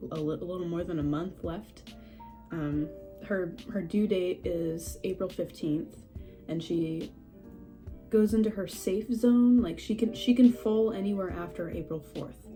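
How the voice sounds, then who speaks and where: A young woman speaks with a stuffy, slightly scratchy voice from a cold, her tone very dark and mellow. She rambles in a chatty, self-deprecating way, often laughing at herself. Faint guitar music plays underneath. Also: on the low side. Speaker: horse breeder vlogger. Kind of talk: casual update vlog. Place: at home among houseplants.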